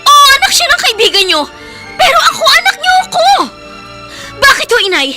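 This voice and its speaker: A woman with a Filipino accent playing a gentle, hurt young schoolgirl from a poor family in a radio drama, earnest and plaintive. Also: very high-pitched, sing-song, fairly quick, soft background music, heavily acted. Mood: anguished.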